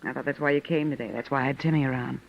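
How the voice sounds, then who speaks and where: An actress playing a flirtatious, cool, hard-drinking femme fatale, arch and evasive, in a vintage radio noir drama. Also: female voice, extremely low pitch, fairly even intonation, very fast, gravelly, a little hiss or hum. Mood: arch, brazen. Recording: dull vintage radio recording.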